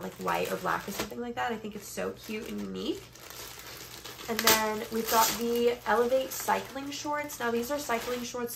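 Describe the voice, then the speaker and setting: A woman's voice: mid-range voice, gravelly. A woman in her twenties with a congested voice, chatting casually and informally as a vlogger filming on a phone in a bedroom. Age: 20s. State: congested from allergies. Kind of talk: casual haul chat. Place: bedroom, indoors. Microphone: phone camera at about arm's length or further. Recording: noticeable background noise, phone recording.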